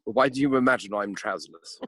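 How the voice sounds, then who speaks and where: A man playing a genial, easily flustered gentleman heir in a comic amateur radio play, husky and theatrical. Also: fairly low pitch, a little fast, dry recording, clean studio sound.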